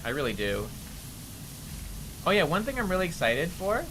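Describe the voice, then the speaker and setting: A man's voice: a little high, big pitch swings, normal speed, noisy background. A young man with a nasally voice, rambling casually to his chat as a streamer while playing a game. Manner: rambling, chatty, answering chat.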